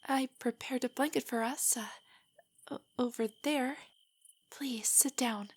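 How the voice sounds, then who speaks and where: A woman playing a shy, nerdy young girlfriend in an intimate audio roleplay, soft and breathy, stammering with nerves. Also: high-pitched, unhurried, slight background noise. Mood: nervous, shy. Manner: nervous, stammering, hesitant rambling. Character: shy, nerdy, easily flustered young adult girlfriend on a date.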